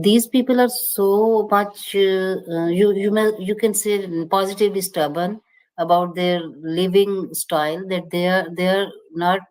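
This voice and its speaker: A woman with a very low voice answers a question at a panel discussion. She talks in halting stretches, hunting for words and restarting phrases. Her tone stays explanatory throughout. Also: a little mellow, low-quality recording. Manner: hesitant, many fillers and restarts, explanatory. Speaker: panel speaker.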